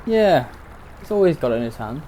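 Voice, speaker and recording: A restless young lad , played by a man, in an audio drama, with a slightly high, very animated voice. He sounds goading. Faint watery ambience runs behind him. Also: a little fast, slight background noise.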